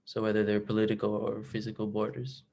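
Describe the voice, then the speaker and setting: Soft-spoken young Israeli man, flat. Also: fairly low pitch, fast, vocal fry. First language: Hebrew. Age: 20s. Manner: hesitant, many fillers and restarts. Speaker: guest author. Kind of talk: casual talk about his book. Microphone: video call.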